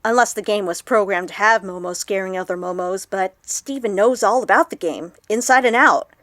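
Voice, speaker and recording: A woman playing an awkward, excitable young woman vlogger in an audio drama, chatty and expressive. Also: mid-range voice, moderate pace, no room sound, studio quality, natural voice. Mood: puzzled, defensive. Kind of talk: audio drama acted as a confessional vlog.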